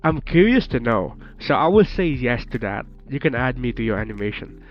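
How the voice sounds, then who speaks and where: A man's voice: medium pitch, big pitch swings, a little fast. A man in his twenties with a Filipino accent, a bit under the weather, chatting casually with his stream viewers, laughing often and teasing them with joking swears. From Philippines. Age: 20s. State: slightly sick. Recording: dull lo-fi stream audio with faint music.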